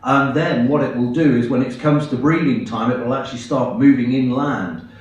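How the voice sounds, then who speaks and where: A man with a hoarse voice from a cold, giving an earnest, halting presentation with slides at a public meeting. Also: medium pitch. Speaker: invited speaker at a public meeting. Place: large echoey meeting hall. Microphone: far from the microphone. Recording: echoey hall, distant, dull sound.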